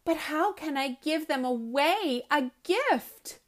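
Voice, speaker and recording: A proper, peculiar old-fashioned goat, voiced by a woman reading aloud. He talks loudly and primly, with a lively, wide-ranging lilt. His delivery is slow and deliberate. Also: high-pitched, voice pushed up. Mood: cheerful. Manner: louder, proper and matter-of-fact. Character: odd, polite male goat who eats tin cans.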